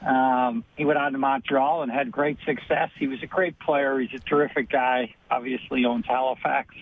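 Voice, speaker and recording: A former hockey player as guest in a casual interview, speaking in a measured, reflective way. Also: male voice, mid-range voice, fairly even intonation. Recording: tinny phone line.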